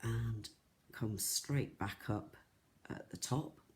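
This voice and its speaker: A croaky, soft-voiced presenter, chatting through a live beading tutorial with lots of ums and restarts. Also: mid-range voice, slow pace. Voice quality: soft, not loud. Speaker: craft shop tutor.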